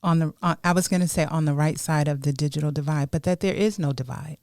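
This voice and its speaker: A woman with a slightly low voice hosts a podcast interview. She speaks conversationally as she puts questions and comments to a guest. The recording is dry and close. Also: fast talker, a little mellow, no echo.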